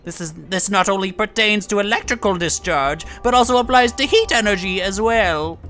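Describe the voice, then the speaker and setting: A woman in a fan dub voicing an obsessive, condescending, sinister professor with a deliberate, theatrical way of lecturing. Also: medium pitch, a bit bright, faint music behind, close to an everyday voice. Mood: smug fascination. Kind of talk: fan dub voice acting of a video game scene.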